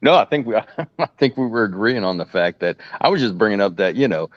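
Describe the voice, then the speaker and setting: Man panelist, very deep voice, halting casual talk, dry muffled audio. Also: expressive, no echo. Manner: halting, casual, many fillers. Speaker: panel guest. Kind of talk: panel discussion.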